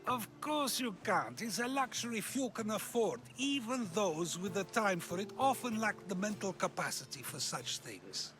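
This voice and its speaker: An actor playing a lofty male philosopher, measured and condescending. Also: sits high, lively intonation, music in the background. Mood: musing.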